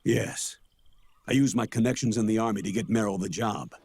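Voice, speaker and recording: An actor playing a weary, defensive older colonel in a video game, husky and measured in delivery. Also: male voice, on the low side, a little mellow, slight background noise. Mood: earnest, defensive. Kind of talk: dramatic video game voice acting.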